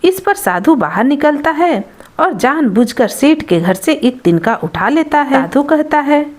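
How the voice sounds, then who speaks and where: A woman's voice: high-pitched, very animated, measured pace. A woman narrating a scripted folktale as a storyteller.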